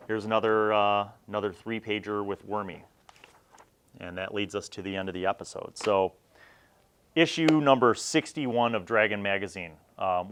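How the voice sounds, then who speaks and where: A man's voice: on the low side, fairly bright tone, smooth. A middle-aged man hosting a casual review, talking in an enthusiastic, emphatic and conversational way. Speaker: host of a tabletop gaming review series.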